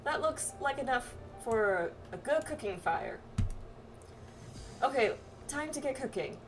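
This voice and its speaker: A friendly young man of the road voiced by a woman, an astrologer and camp cook from a game. He is polite and gently hesitant, a little off the mic over soft music. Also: high voice, animated, unhurried, distant mic, pitched above the performer's own voice. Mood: cheerful, hesitant.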